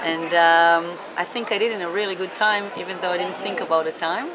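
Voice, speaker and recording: A woman in her forties from Israel with an Israeli accent, speaking slowly and haltingly with many fillers in an interview about skating. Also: fairly low pitch, fairly even intonation, over music, poor audio. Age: 40s. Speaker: marathon skater. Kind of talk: interview to camera. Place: busy indoor expo hall. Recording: loud crowd and noise behind.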